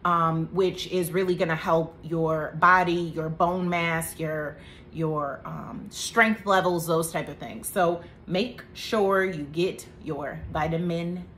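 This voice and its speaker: A woman in her thirties talks to camera about health in a chatty, joking way. Her voice sits a little low. A faint room hum lies under the recording. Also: steady tone, slow pace, a bit bright. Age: 30s. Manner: chatty, joking asides, faith talk. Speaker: vlogger. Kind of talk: casual health talk to camera.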